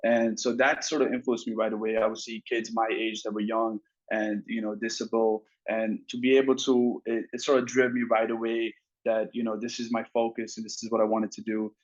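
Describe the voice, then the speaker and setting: A man's voice: fairly low pitch, level tone, a little fast, muffled recording. A young man from Pakistan, a podiatry student, explaining his field earnestly in an interview with some fillers. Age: young adult. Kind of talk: interview answers.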